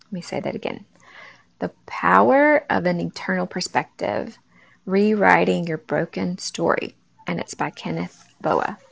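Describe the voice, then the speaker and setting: A woman speaks with a raspy early-morning voice that is heavy with gravelly fry, and her pitch sits on the lower side. She hosts a faith talk, addressing her listeners in a casual, direct way. The recording sounds dull and lo-fi. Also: measured pace, no room sound. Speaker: podcast host.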